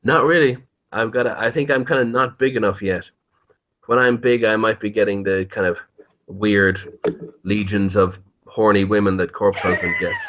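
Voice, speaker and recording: A man's voice: fairly low pitch, wildly swooping pitch, moderate pace, fairly bright tone. A man chatting casually as an interview guest, rambling and hesitant, with a joking streak and easy swearing. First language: English. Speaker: interviewed YouTube horror story narrator.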